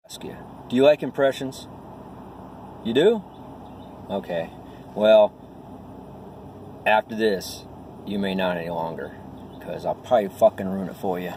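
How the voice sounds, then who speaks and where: A man's voice: low-pitched, unhurried, long pauses, darkish tone. A middle-aged man talking casually and bluntly as an amateur comedian, with profane asides. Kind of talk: casual vlog with stand-up bits. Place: inside a parked vehicle. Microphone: phone or camera at arm's length. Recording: in-car, distant mic, faint noise.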